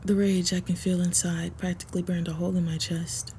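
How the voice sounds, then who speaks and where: A woman narrating a first-person story for an intimate roleplay audio in a soft, measured way. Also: on the low side, flat delivery, slight background noise.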